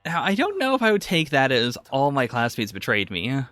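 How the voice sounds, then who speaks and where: Male podcast co-host for casual anime reactions; bright, expressive delivery with an analytical bent. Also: medium pitch, brisk, no room sound, clean studio sound, soft background music.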